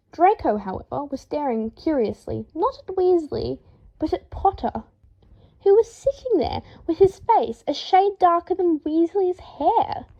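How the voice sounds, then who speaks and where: Woman audiobook reader, expressive, highish voice, bit of a dull lo-fi sound. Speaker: fan fiction audiobook reader. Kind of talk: expressive scripted narration. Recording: dull lo-fi home recording.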